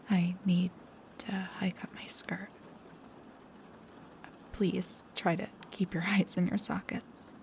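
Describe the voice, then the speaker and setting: A young woman playing a snarky, high-strung research assistant in an intimate audio roleplay, with a halting, stammering, dramatic delivery. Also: vocal fry, a little mellow, a little hiss or hum. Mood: hushed, tense. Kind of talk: intimate one-sided audio roleplay acting.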